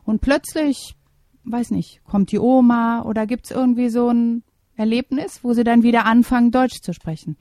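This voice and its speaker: A middle-aged woman and mother, speaking as an interview guest and teacher, giving thoughtful, measured answers with small hesitations. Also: on the high side, fairly even intonation, dark tone, no room sound.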